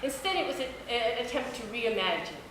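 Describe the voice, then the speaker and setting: A woman, a book editor, giving a measured, prepared literary introduction at a public event, with deliberate phrasing. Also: fairly high pitch, expressive, a bit bright. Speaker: book editor speaking at a launch. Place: echoey indoor room at a public event. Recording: echoey room recording with clear background noise.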